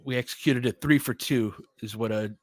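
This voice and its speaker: Male basketball play-by-play host, mid-pitched, informal fan-side delivery, excitable on big plays, very dry recording. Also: normal speed, dry recording. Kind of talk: live basketball commentary and analysis.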